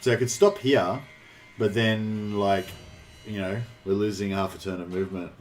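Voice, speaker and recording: An Australian man chatting casually on a live game stream, rambling and halting, with some swearing. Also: on the low side, steady tone. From New South Wales, Australia. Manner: rambling, halting, foul-mouthed. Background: faint game music.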